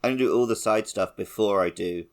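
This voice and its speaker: A man co-hosting a gaming podcast, explaining things in a relaxed conversational style. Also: on the low side, quick pace. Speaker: podcast co-host. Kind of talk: casual chat about video games.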